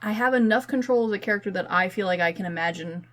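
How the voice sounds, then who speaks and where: A woman chatting as a podcast co-host, with a medium-pitched voice. She talks informally and feels her way through each thought, often hedging as she goes. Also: fast. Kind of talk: casual chat about films and games.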